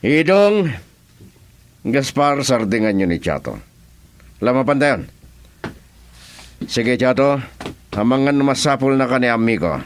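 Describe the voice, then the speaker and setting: A man's voice: medium pitch, very animated, unhurried, long pauses, clean tone. A man acting a scheming, domineering boss in an Ilocano radio drama. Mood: bossy. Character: scheming domineering male boss, smug with underlings.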